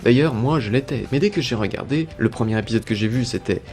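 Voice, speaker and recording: Male video essayist, young, mid-pitched, scripted enthusiastic narration with music underneath. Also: fast, darkish tone. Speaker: video essayist reviewing a cartoon. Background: background music.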